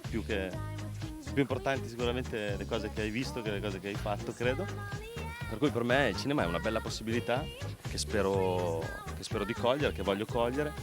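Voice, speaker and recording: A man's voice: lowish voice, brisk. A man giving an informal interview answer, casual and repeating his phrases. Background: music.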